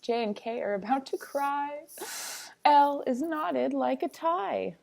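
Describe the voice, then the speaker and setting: A woman's voice: sits high, animated, slow, vocal fry, a little mellow. A woman reading a picture book aloud like a teacher, with a sing-songy, playful, dramatic delivery and chatty asides.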